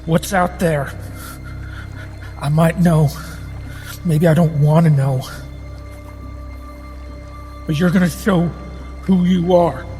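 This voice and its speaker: A worn-out adventurer played by a man in a tabletop roleplaying game, with a dark, mellow, mid-pitched voice. He speaks slowly and wearily, with stubborn defiance underneath, over music. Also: steady tone. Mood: weary, defiant. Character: weary, exhausted man fed up with not knowing.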